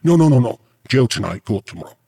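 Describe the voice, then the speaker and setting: Man doing a pushy Kenyan cop, imitated accent, raised pitch, clipped repetitive demands, angling for a bribe. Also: very animated, fast talker, vocal fry, warm tone, husky, heavily acted. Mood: stern, insistent. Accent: imitated Kenyan English. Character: insistent Kenyan secret policeman angling for a bribe.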